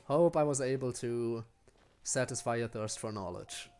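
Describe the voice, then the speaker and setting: A man's voice: medium pitch, normal speed, a little mellow, clear, resonant tone. A man giving casual livestream commentary as a game streamer, explaining things in a hesitant, rambling way. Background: faint music.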